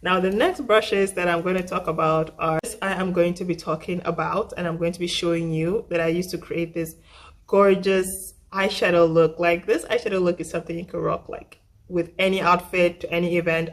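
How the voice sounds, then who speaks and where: A young woman with a husky voice, still getting over the flu, chatting through a casual makeup tutorial and often repeating herself. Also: on the low side, steady tone, a little fast. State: recovering from flu. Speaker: makeup vlogger.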